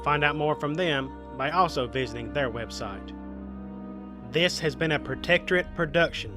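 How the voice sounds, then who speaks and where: A man's voice: fairly high pitch, normal speed, over music. A man reading scripted end credits as the announcer of an audio production.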